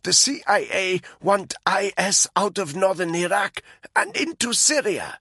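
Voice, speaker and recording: A terrified female informant croaks out her words through a rope around her throat, as a male narrator puts her on. Her voice is strained and breathless and climbs very high. She sounds pleading and panicked, and her voice is husky and dry. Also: big pitch swings, a little slow, bright, forceful tone, no room sound, full character voice. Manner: breathless, pleading, rising to cries. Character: frightened female informant, choking under a rope.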